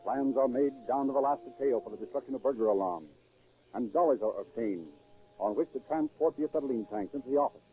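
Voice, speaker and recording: Actor as dark-toned 1930s crime radio narrator. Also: male voice, mid-range voice, fast, natural voice. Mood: dramatic. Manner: theatrical, portentous storytelling. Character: dramatic, urgent true-crime radio narrator. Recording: muffled 1930s radio recording.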